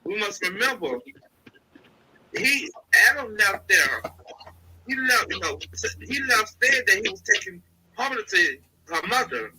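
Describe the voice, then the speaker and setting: A woman calling in to a live show, congested and soft-spoken, talking hesitantly with many fillers. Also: medium pitch, sing-song, unhurried, a little hiss or hum, rough recording. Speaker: caller. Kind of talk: casual phone-in chat.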